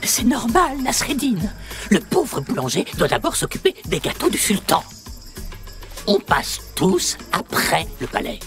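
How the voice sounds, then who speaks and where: A woman's voice: mid-range voice, huge pitch swings, unhurried, soft background music. An actress playing a worried, sensible townswoman in a French children's cartoon. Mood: resigned.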